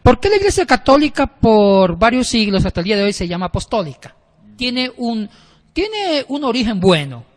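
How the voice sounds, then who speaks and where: A man's voice: very high voice, big pitch swings, moderate pace. A man explaining church history in a casual discussion, often restarting his sentences. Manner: explanatory, with frequent restarts and hesitations. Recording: long reverb.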